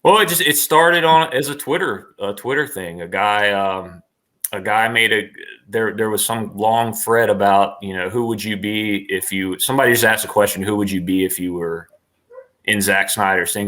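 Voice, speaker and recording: A man's voice: fairly low pitch, moderate pace, a bit bright. A man talking casually as an interview guest, rambling and enthusiastic in a slangy way.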